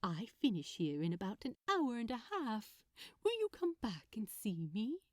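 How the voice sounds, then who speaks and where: A woman puts on a sweet male gift-wrapper in a romantic audiobook, polite and softly teasing. He takes his time and lilts expressively, in a close, dry recording. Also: fairly high pitch, huge pitch swings, measured pace, dry recording, heavily acted. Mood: hopeful, coy. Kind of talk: fan fiction audiobook dialogue.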